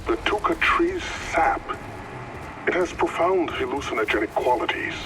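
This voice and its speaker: A man's voice: a little high, expressive, normal speed, natural voice. Solemn explorer played by a man over ambient noise. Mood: reverent. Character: earnest, mystical male explorer and forest guide. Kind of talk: video game cutscene acting.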